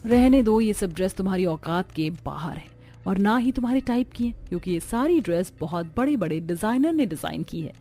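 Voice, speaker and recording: A woman's voice: mid-range voice, expressive, brisk, warm tone, faint music behind. A woman voicing a haughty young rich daughter-in-law in a cartoon story, condescending and showing off. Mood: scornful.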